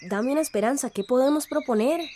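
A high-voiced, emotional young woman from a village played by an actress in a radio drama. She has a Central American accent and sounds disillusioned and exasperated, with grief under the anger. There is a little background noise. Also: fast, mellow, lightly acted. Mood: frustrated.

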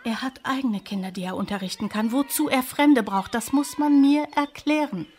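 An actress playing an exasperated, bitter grown daughter of an old scholar, complaining pointedly in a radio play. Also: female voice, a little high, normal speed, darkish tone, breathy edge. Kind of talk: German radio play acting.

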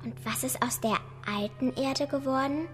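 A woman's voice: high voice, normal speed, faint music behind, natural voice. An actress playing a curious, thoughtful nine-year-old girl in a radio drama, with an eager, questioning manner.

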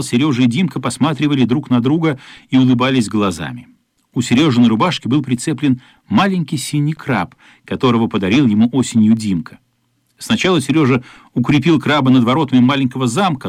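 An actor narrating a children's radio play as a thoughtful storyteller, in an expressive, lyrical literary manner. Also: male voice, medium pitch, brisk, no room sound, clean studio sound, close to an everyday voice. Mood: warm, amused.

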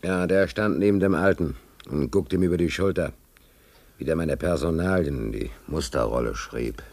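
An actor playing an aggrieved sailor in a German radio play, colloquial and quick to protest. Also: male voice, a bass voice, darkish tone. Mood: bitter, recounting. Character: aggrieved sailor tricked into shipping as stoker's trimmer.

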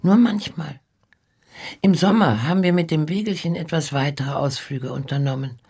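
An older actress playing an elderly former nanny who recalls the past in a reflective, deliberate radio drama monologue. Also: female voice, sits low, darkish tone, breathy edge. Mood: wistful, grave. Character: elderly former nanny, wistfully recalling pre-war Prague.

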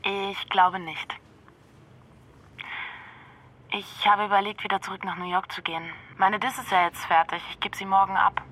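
An actress playing a sardonic, guarded young doctoral student in a radio drama, with a dry, cutting delivery. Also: female voice, mid-range voice, fairly even intonation, a little fast, a bit bright, close to an everyday voice, low-quality recording. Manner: dry, cutting, matter-of-fact.

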